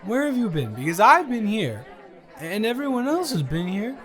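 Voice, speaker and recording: A man's voice: sits high, sing-song, normal speed, soft background music, a faint crowd. A man playing a tipsy, stubborn male roommate at a party, rambling and repeating himself in an improvised audio roleplay. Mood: giddy, pestering. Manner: rambling, tipsy, dragged-out and repetitive. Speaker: audio roleplay performer, channel creator.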